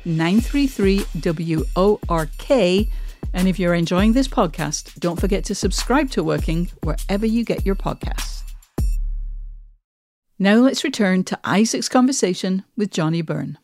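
A woman's voice: on the low side, expressive, moderate pace, darkish tone. A woman reading a scripted mid-show podcast announcement, addressing the listeners directly. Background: music.

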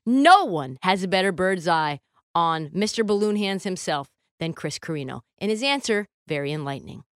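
A woman with a slightly low voice hosts a sports show. Her delivery is casual yet punchy, with an irreverent edge, and the recording is close and dry. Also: animated, moderate pace, smooth, no echo. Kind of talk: casual scripted narration and interviewing.

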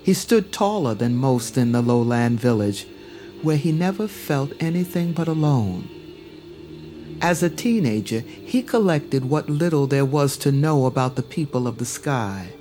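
Slow-paced male storyteller over faint music. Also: medium pitch, expressive, mellow. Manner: measured, literary delivery. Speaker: narrator. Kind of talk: scripted dramatic storytelling.

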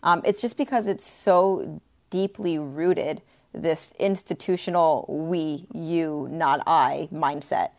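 Gravelly low-voiced woman chatting. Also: slow pace. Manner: chatty and expressive, laughing, with playful asides. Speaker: podcast co-host. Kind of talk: casual sports talk.

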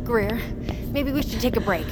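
A woman's voice: a little high, animated, moderate pace, natural voice. Actress as anxious, cautious woman, noisy surroundings. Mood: uneasy. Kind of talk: audio drama acting. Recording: very noisy background ambience.

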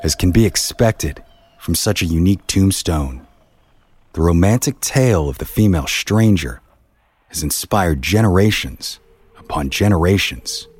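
A man's voice: deep voice, animated, a little slow, darkish tone, slight background noise. A man reading scripted storytelling narration in the manner of a history documentary.

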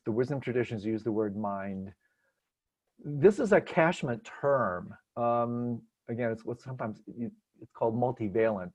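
A man from Detroit gives a meditation teaching over a video call. He speaks at an ordinary pitch, in a gentle, searching way with frequent restarts, and the audio sounds dull and lo-fi. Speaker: meditation teacher answering questions. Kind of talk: relaxed spoken teaching, unscripted.

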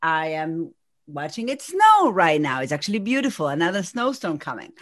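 A woman in her forties from Argentina, a native Spanish speaker with an Argentine Spanish accent, talking as a podcast guest and executive coach in an energetic, think-aloud way. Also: sits low, normal speed, no echo, studio quality. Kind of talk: casual podcast conversation.

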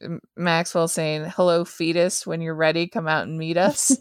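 A lower-pitched woman with a mixed American accent, a bit of East Coast and a bit of Utah. She talks in a chatty, easy way, laughing often and restarting her thoughts. Dry recording, no room sound. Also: little pitch movement, moderate pace, clear, resonant tone, no room sound. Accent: mixed American, hints of East Coast and Utah. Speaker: podcast co-host. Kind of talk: casual chat recapping a sitcom.

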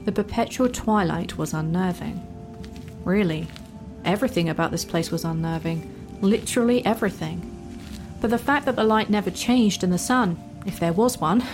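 A woman narrating a fantasy story in a measured, wry storytelling manner. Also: mid-range voice. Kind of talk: dramatic fan fiction narration. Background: music.

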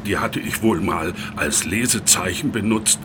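A man's voice: sits low, measured pace, background noise. A man playing an eccentric, chirpy librarian with a fussy, theatrical manner in a children's audio drama. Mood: sheepish. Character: eccentric, chirpy male librarian, apologetic book lover.

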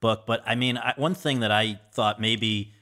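A man's voice: on the low side, steady tone, moderate pace, pushed and bright. A man hosting a podcast, introducing an interview and asking questions politely and eagerly. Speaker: podcast host.

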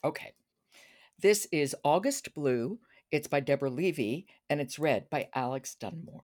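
A woman's voice: sits low, lively intonation, unhurried, a little mellow. A woman hosting a conversational podcast about books. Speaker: podcast host.

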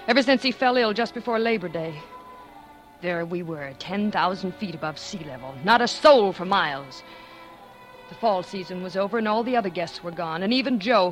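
A woman's voice: lowish voice, natural voice. A cold-blooded, scheming wife played by a woman in an old 1940s radio thriller. She confesses with theatrical swings between sweetness and menace. Music runs behind the vintage recording. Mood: desperate, tense. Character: scheming, cold-blooded former actress poisoning her husband. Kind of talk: 1940s radio suspense acting, first-person confession.

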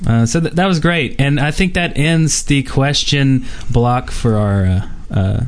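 A man's voice: mid-range voice, unhurried. Laughing, rambling male podcast host. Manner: laughs often, hesitant with fillers. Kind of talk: casual gaming podcast chat.

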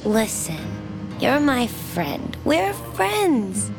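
A woman playing a warm, flirty, persistent diner waitress in a naturalistic audio drama, with a folksy, coaxing way of talking. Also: a little high, big pitch swings, very slow, creaky, over music, heavily acted. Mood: concerned.

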